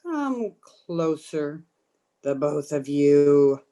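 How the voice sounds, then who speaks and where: An eerie old hag in a radio thriller. Her voice is lowish and extremely slow, sliding widely in pitch. She sounds ominous and commanding. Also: very animated, dark tone, heavily acted. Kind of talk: old-time radio drama acting.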